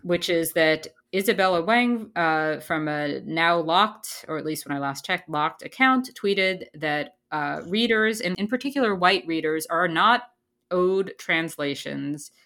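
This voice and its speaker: A woman's voice: lowish voice, expressive, unhurried, fairly bright tone. A woman co-hosting a podcast in casual chat, playful and ironic, rambling with fillers and laughs. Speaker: podcast co-host.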